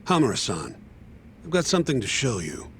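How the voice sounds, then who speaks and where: A man's voice: mid-range voice, huge pitch swings, a little fast, slight background noise. A man playing an assertive investigator laying out a case in a video game cutscene. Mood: confident.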